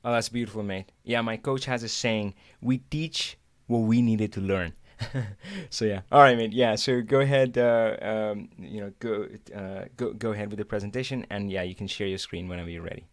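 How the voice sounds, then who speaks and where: Upbeat low-voiced male stream host. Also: moderate pace, few pauses, no room sound. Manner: upbeat, rambling, lots of fillers and restarts. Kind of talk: hosting a live video stream. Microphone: video call.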